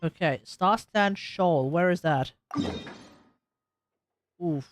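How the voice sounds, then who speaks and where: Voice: medium pitch, very slow, soft background music. A streamer with an Australian accent talking casually through a game live stream, rambling and often correcting themselves. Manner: rambling, self-correcting, chatty.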